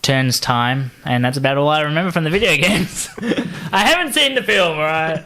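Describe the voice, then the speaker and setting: A man's voice: fairly high pitch, lively intonation, normal speed, sharp tone. A man with a slightly raised voice, chatting on a podcast. He cracks jokes and laughs along with the others. His talk is easy and unscripted. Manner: wisecracking, laughs often. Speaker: podcast co-host. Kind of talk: casual chat.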